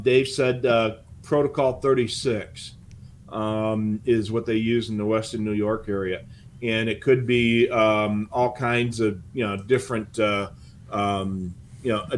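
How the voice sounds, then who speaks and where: An older man explaining things in casual, halting conversation as a co-host. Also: medium pitch. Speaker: co-host and former firefighter. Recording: noticeable background noise.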